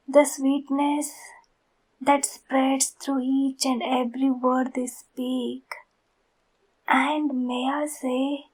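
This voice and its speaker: High, unhurried female voice for ASMR roleplay: actress as a lovesick stalker fan, soft and cooing, sweetness with menace underneath. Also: rough recording. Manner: soft, cooing, slow and deliberate, often breathy whispering. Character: obsessed flirty yandere woman fan, sweetly menacing stalker. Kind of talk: intimate ASMR roleplay acting.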